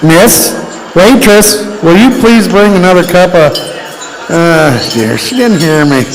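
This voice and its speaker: A man's voice: sits high, expressive, slow pace, full character voice. An actor playing a fretful townsman who believes in a ghost, in an old-time radio drama on stage. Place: stage in a large reverberant room. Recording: live stage hall, reverb, faint noise.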